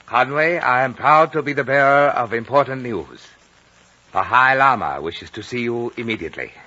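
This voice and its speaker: An actor playing a courteous, composed official of a mountain lamasery in a formal, measured 1950 radio drama style. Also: male voice, mid-range voice, sharp tone, no echo. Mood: proud, urgent. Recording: dull noisy 1950 radio broadcast.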